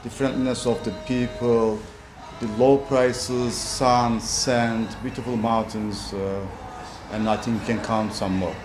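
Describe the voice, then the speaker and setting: A man in his forties from Cyprus, a property developer, answering interview questions in a measured, hesitant way with many fillers. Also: mid-range voice, level tone. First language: Turkish. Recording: roomy echo with background music.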